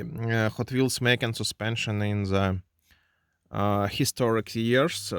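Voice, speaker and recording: A man with a Russian accent reviewing a toy car at his desk, speaking halting English full of fillers and restarts. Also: fairly low pitch, a bit bright, clear, resonant tone, no echo, studio quality. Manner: halting non-native English with many fillers. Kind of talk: hands-on unboxing review. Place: indoors at a desk.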